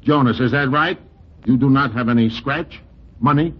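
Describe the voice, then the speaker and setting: Husky, scheming New York fight promoter actor. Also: male voice, medium pitch, normal speed, lightly acted. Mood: persuasive. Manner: persuasive, slangy, formal wording. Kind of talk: 1940s radio comedy acting. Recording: dull, band-limited 1940s radio recording.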